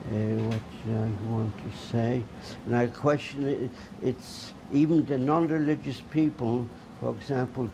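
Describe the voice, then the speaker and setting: An older man from Poland, first language Polish, giving oral history testimony in a halting way with many restarts. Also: medium pitch, dark tone, a little hiss or hum, rough recording. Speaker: Holocaust survivor giving testimony.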